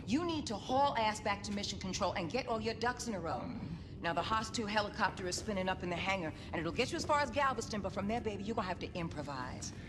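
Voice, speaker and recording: A woman's voice: medium pitch, expressive, quick pace, background noise, close to an everyday voice. An actress playing a bossy, swaggering American woman who leads a resistance in a video game, with slangy American speech. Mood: brisk orders. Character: brash, commanding American woman resistance leader. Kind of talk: dramatic video game acting.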